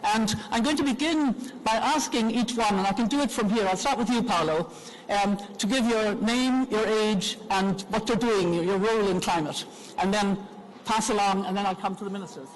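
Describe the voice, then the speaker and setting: An older woman, a grandmother, moderating a conference panel in an earnest, measured way with frequent pauses. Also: mid-range voice, rough recording.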